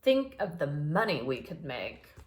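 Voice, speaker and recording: A woman's voice: low-pitched, sing-song, unhurried, reverberant, voice pushed down. A woman voicing a boastful, greedy male mouse scientist in a storybook, proclaiming like a grand showman.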